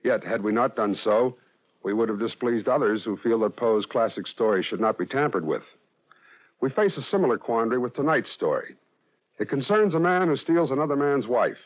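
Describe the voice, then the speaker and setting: A man delivering a formal, measured scripted introduction as a radio announcer. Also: mid-range voice, lively intonation. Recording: dull lo-fi old radio broadcast.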